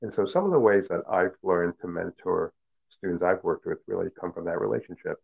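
Middle-aged man, professor, Cantonese first language, low gravelly voice, thin phone-like sound. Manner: measured, reflective, many fillers and restarts. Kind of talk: interview answers.

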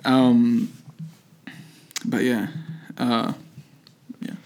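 A young man from Egypt, a native Arabic speaker, talking casually in an interview, with lots of fillers, restarts and soft laughs. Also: medium pitch, little pitch movement, drawn-out words. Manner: hesitant, many fillers and restarts, laughs softly. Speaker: interviewee, student.

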